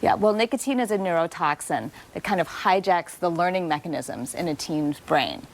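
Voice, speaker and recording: A woman doctor, a guest expert on a TV segment, explaining things in a calm, clear, explanatory manner. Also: fairly low pitch, moderate pace. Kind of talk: expert interview.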